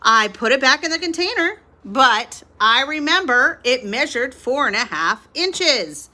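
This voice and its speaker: Woman acting out cheerful storybook maid, lively swooping intonation, bright tone, naive and earnest, eager to please. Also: high voice, unhurried, heavily acted. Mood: innocent, eager.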